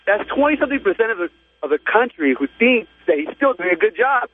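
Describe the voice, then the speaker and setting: A man's voice: sits high, sing-song, fast talker, husky, no room sound. A man from Los Angeles calling in to a radio show, sounding indignant and exasperated. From Los Angeles, United States. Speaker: caller. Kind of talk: call-in opinion. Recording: tinny phone line.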